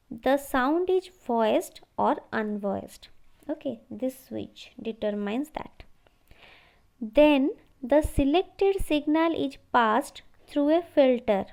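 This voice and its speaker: A woman lecturer explaining technical theory from slides in a measured, instructional way. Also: sits high, a little mellow.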